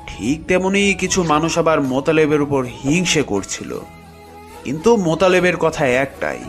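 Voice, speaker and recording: A man narrating a scripted horror story in a dramatic style. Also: a little high.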